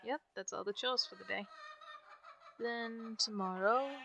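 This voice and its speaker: A woman's voice: medium pitch, close to an everyday voice, low-quality recording. A woman playing an easygoing young ranch hand in an ASMR roleplay, chatting in a folksy way to a newcomer. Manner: folksy, drawling phrasing with ain't and gotta. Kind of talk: ASMR roleplay, one-sided chat. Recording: background noise with farm animal ambience.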